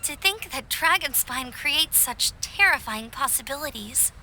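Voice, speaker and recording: An actress playing a spirited, reassuring young woman in a video game cutscene, animated and expressive. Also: sits high, a little slow, a little hiss or hum, heavily acted. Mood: assured. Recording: music clearly under dialogue.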